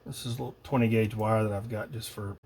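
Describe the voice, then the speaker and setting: A man thinking aloud in a halting, casual way as he tests electronics at a workbench. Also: lowish voice, fairly even intonation, a little mellow, husky. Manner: muttering, halting, pondering to himself. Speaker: hobbyist demonstrating electronics testing. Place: indoor cluttered electronics workbench. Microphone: old phone used as camera above bench. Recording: noisy phone-camera workshop recording.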